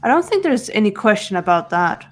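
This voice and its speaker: A woman voicing a calm young investigator who reasons through a case in a measured way. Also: medium pitch, soft background music. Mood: cool. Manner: measured, logical.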